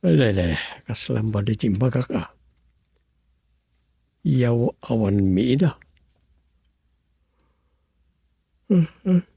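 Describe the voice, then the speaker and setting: A man's voice: medium pitch, sing-song, slow, gravelly, dark tone, husky, no echo, full character voice. A man playing a scheming villager in an Ilocano radio comedy drama, musing and muttering with exclamations. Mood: musing, drowsy.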